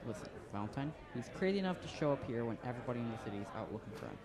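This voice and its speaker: Audio drama part: protective, blunt young adult, acted, rapid everyday speech over a clear crowd background. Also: medium pitch, animated, natural voice. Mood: grim, worried. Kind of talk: naturalistic audio drama acting. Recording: crowd murmur behind.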